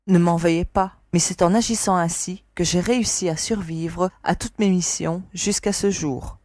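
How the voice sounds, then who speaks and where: Woman acting a low-voiced, wary space agent. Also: steady tone, normal speed, a little mellow, no echo, clean studio sound, close to an everyday voice. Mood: guarded, frank. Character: wary young female space agent, self-assured team leader. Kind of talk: audio drama acting.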